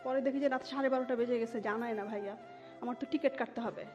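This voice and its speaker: A woman's voice: fairly high pitch, steady tone, fast, lo-fi sound, over music. A woman telling her personal story emotionally as an interview guest, mixing in English words.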